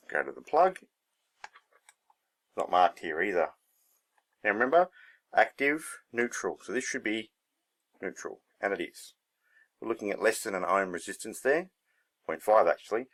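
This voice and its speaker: A man's voice: on the low side, fairly bright tone. A man giving a casual hands-on demonstration, thinking aloud in a laid-back, unscripted way as he works. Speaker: hobbyist presenter.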